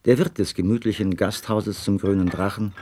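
A man playing a genial gentleman narrator who recalls his adventure in scripted radio play narration, with a husky voice. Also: fairly low pitch, steady tone, normal speed, a little hiss or hum, close to an everyday voice. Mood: calm, reminiscing.

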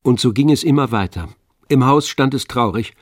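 An actor playing the all-knowing narrator of a literary tragedy in a radio drama, telling it in a measured, expressive way. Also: male voice, medium pitch, no room sound, studio quality, lightly acted. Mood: matter-of-fact.

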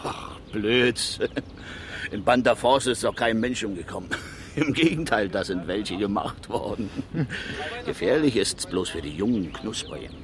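Casting: laconic, folksy woodsman, very lively intonation, 1970s radio drama, clearly noisy recording. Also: on the low side, unhurried. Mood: teasing, dismissive. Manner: terse, folksy, colloquial. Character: gruff, laconic East German forestry worker, fond of teasing. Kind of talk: naturalistic 1970s radio crime drama acting.

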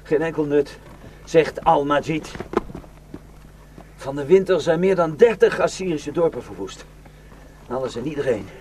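A man's voice: fairly high pitch, lively intonation, measured pace, long pauses, a little hiss or hum. An actor playing a cynical, taunting Iraqi man in a radio drama, declaiming and mocking. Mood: scornful, fired up. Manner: mocking, declamatory, swinging from hushed to shouting.

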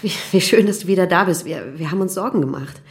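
A woman's voice: on the low side, fast, natural voice. An actress playing a friendly, solicitous woman friend in a radio drama, chatty and effusive.

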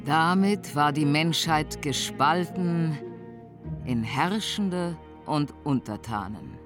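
A woman's voice: low-pitched, lively intonation. A woman playing a solemn earth mother goddess who narrates a myth in a German fantasy radio drama. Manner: solemn, measured. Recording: studio narration over music.

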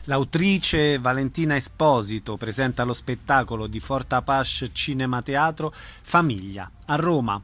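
Male radio interviewer, medium pitch. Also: measured pace, a bit bright, faint music behind.

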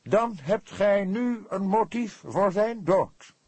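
A man's voice: sits high, animated, takes it slowly, darkish tone, slightly rough, no echo, voice pushed up, heavily acted. A man voicing a pompous male book publisher in an audiobook, stately and bombastic with swelling emphasis.

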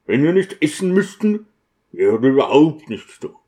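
A man's voice: on the high side, sing-song, a little slow, dark tone. A man voicing an eager, boastful deacon in an audiobook, using affected, oddly stressed expressions. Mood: lecturing. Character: eager, boastful deacon showing off newly learned city slang.

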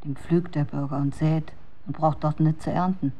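An actress in a German radio drama playing a bitter, tender outcast woman in a husky, breathy half-whisper, with archaic, poetic speech. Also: sits low, fairly even intonation, normal speed, dull audio. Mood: quietly bitter.

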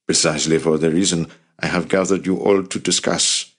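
A man narrating an audiobook plays a determined resistance fighter with a low voice. He is earnest and blunt, pressing his case with passion and persuasion. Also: steady tone, a little fast. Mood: resolute.

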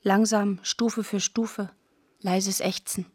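Woman reading radio drama narration n stage directions, clipped phrases, medium pitch, super dry studio. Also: flat delivery, slow, a little mellow, breathy edge, no echo. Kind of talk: scripted radio play narration.

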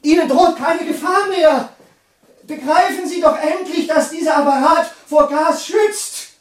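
An actor playing an agitated, eccentric male scientist haunted by war in a radio play, with a husky voice and an intense manner. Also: extremely high pitch. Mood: frantic, insistent. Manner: slow, intense, swinging from cries to hushed brooding. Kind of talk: dramatic radio play acting.

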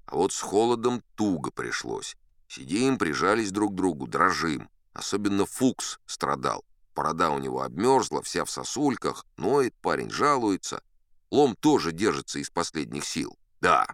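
Deep-voiced boastful sea captain. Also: male voice, very animated, moderate pace, a bit bright, no room sound, clean studio sound, exaggerated voice. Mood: wry, unhurried. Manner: folksy, self-assured, conversational yarn-spinning. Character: old sea captain, boastful, spinning tall tales of his adventures. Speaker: audiobook performer narrating in character. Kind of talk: dramatic first-person storytelling.

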